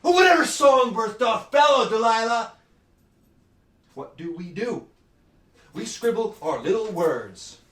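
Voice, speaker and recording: High-voiced, melodramatic lovesick student played by a man. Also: animated, unhurried, long pauses, distant mic. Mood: brooding, theatrical. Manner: melodramatic, swinging from hushed to shrill. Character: lovesick, melodramatic young male student writer, emotionally unstable. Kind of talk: satirical radio play acting. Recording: echoey room, off the mic.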